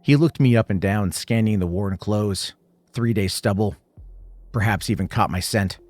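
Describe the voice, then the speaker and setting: A man's voice: lowish voice, moderate pace, a little mellow, dry recording. A man narrating a scripted first-person horror story in short, suspenseful, dramatic phrases.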